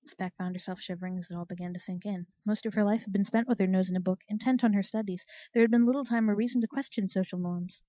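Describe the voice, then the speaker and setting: A woman narrates a story in scripted audiobook style. Her pitch is average, and the recording is dry, close and slightly dull. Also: steady tone, fast, hardly any pauses, dry recording. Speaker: audiobook reader.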